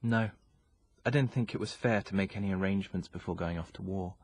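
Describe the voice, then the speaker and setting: A man's voice: low-pitched, fairly quick, a little mellow, slightly rough. A man playing a terse, melancholy young soldier in a period radio drama. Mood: bitter, gloomy. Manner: terse, gloomy.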